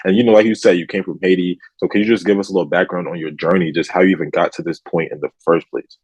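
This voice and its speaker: A man's voice: deep voice, fairly even intonation, quick pace, a little mellow, husky, no echo. A man co-hosting an interview show in a hyped, slangy manner, introducing a guest and asking questions. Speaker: podcast co-host. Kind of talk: casual hosted interview.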